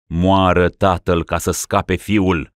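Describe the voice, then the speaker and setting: A cold, ruthless nobleman plotting a murder, steady and deliberate, as a man puts him on. The voice stays deep and low, close and dry. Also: no echo, natural voice. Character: cold ruthless male boyar conspirator.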